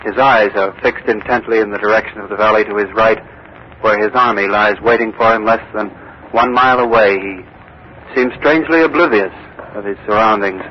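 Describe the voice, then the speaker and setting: An earnest male news correspondent, played by a radio actor, reporting as if live from history. He speaks in measured, dramatic phrases with weighty pauses, in 1940s radio style. The recording is a dull, hissy old broadcast. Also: lowish voice, close to an everyday voice.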